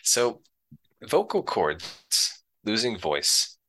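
A man who is a guest and a science educator, talking in casual conversation over a video call, measured and explanatory. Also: fairly low pitch, huge pitch swings, bright, forceful tone, slight background noise.

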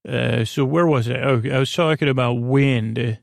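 A man with a creaky, fry-laden voice hosts a bedtime show in a very dry recording. His pitch sits in the middle for a man. He rambles softly and hesitantly, wandering into digressions and losing his thread as he goes. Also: normal speed, dry recording. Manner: hesitant, digressive, loses his train of thought, gently soothing. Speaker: host of a bedtime sleep podcast. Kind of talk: rambling, meandering bedtime monologue.

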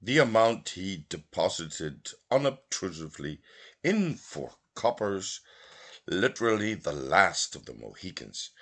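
A low-voiced man narrates a literary audiobook. His delivery is slow and theatrical, with pitch that swings widely from phrase to phrase. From Belfast, Northern Ireland. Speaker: literary reader.

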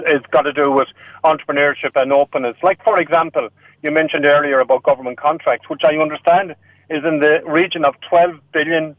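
A man's voice: on the high side, no room sound. A man from an Irish-speaking region, a native Irish speaker with an Irish accent, speaking as an election candidate on a radio panel with frequent restarts. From Irish-speaking region of Ireland. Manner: halting, many restarts. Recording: tinny phone line.

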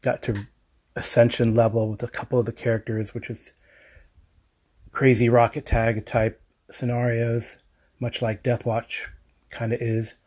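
A man calling in to a podcast with a casual, halting voice message that rambles and trails off. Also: mid-range voice, flat delivery, darkish tone, breathy edge. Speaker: podcast caller.

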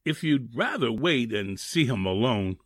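A man playing a plain-spoken, obliging prison guard in a 1940s radio drama, with a husky voice and folksy, colloquial speech. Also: mid-range voice, lively intonation, measured pace, dry recording, clean studio sound. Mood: gruff, obliging.

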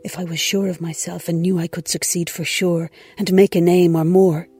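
A man reading a historical text aloud in a measured, solemn way. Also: high voice, flat delivery, mellow, husky.

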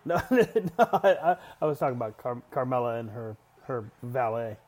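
A man's voice: mid-range voice, animated, normal speed, a little mellow. A man giving jokey, casual live commentary as he watches a wrestling match. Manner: jokey, frequently chuckling.

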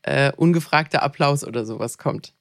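A podcast co-host with a mid-pitched voice, recorded close and dry. The talk is informal and chatty, with a joking streak. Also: moderate pace, gravelly, fairly bright tone, no echo. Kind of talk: casual podcast chat.

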